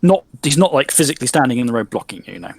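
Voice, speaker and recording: A man's voice: mid-range voice, racing, husky, no echo. A man running a tabletop role-playing game as game master, explaining the scene and calling for rolls. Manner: steady, explanatory, calls for rolls.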